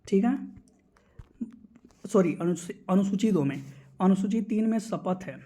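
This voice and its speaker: A man's voice: high voice, animated, a little slow, mellow. A man giving an instructional exam-prep lecture, explaining points item by item as a teacher.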